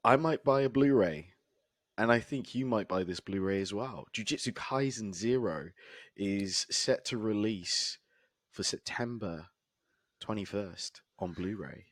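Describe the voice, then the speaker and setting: A man with a hoarse, husky voice co-hosting a casual podcast and laughing often between remarks. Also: fairly low pitch, measured pace, no echo, clean studio sound. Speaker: podcast co-host. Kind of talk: casual chat.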